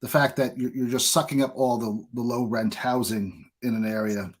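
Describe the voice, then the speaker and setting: A man's voice: mid-range voice, fairly even intonation, moderate pace. An American man hosting a podcast, chatting casually and offering his own opinions in an informal way. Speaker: podcast host. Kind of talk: casual conversational interview.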